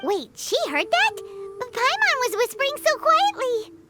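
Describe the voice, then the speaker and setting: Super high squeaky little pixie, bouncy voice, blunt and chatty, game character. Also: female voice, huge pitch swings, over loud music, full character voice. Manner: talks about herself in the third person, quick to suspect. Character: tiny floating female pixie companion, chatty and blunt. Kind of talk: video game voice acting.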